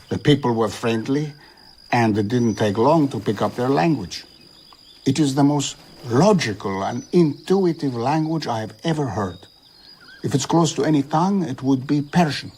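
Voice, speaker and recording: Actor as earnest, worldly older traveller, ambient backdrop. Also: male voice, medium pitch, a little mellow, slight background noise, natural voice. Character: worldly old male traveller-philosopher, idealistic and earnest. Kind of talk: audio drama acting.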